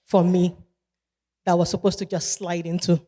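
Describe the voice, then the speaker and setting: A woman with a Nigerian accent delivers a keynote in a large event hall, her warm, mellow voice trailing into a long reverb. She speaks in a measured way and repeats key phrases for emphasis. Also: fairly low pitch, little pitch movement. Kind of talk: motivational keynote speech.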